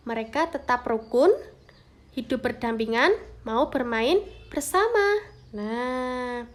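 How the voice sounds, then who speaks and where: A woman teaching a primary school lesson to children, speaking encouragingly, asking the class questions and answering them herself. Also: sits high. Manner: warm encouraging, calls pupils "Nak", asks questions then answers them.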